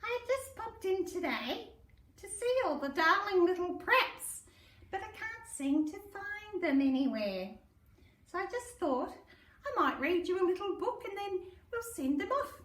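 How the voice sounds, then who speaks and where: A woman's voice: extremely high pitch, sing-song, unhurried, fairly bright tone. Echoey aussie lady a bit far from the mic, sing-song storytime for little kids, affectionate and theatrical. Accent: Australian. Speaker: primary school story reader. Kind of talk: children's storybook read-aloud. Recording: roomy echo, distant microphone.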